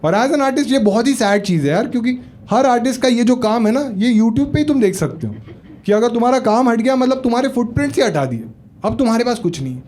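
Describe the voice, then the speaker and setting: High-voiced stand-up comic working a crowd. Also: male voice, lively intonation, quick pace, a little mellow. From Maharashtra, India. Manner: chatty, storytelling, asks the crowd. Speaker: stand-up comedian. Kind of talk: stand-up set with crowd work. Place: comedy club stage. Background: audience laughter.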